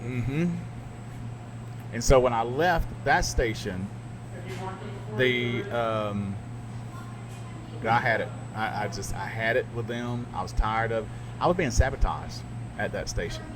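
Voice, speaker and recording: A middle-aged man who works as a radio DJ talks through his stories in a loose, rambling way, often laughing at himself. His voice sits at an ordinary pitch. The recording has steady background noise. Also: a little slow, long pauses. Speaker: podcast co-host and radio DJ. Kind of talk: casual chat.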